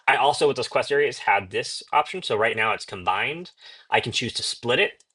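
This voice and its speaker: A man with a fairly low voice and a bright, forward edge. He talks conversationally, explaining each step as in an informal screen-share demo. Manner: conversational, explanatory, acts out short example requests. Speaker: software demonstrator.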